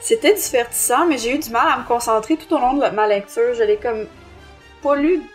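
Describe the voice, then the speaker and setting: A Québécois woman with a fairly high voice reviews books as a podcast co-host. She talks in a casual, conversational way over faint background music. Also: fairly quick. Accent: Québécois French. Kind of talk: casual book review chat.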